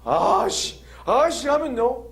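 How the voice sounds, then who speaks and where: A man preaching a lively sermon, laughing often and drawing call-and-response answers from the congregation with frequent questions. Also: extremely high pitch, slow, vocal fry. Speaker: pastor. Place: church hall with congregation.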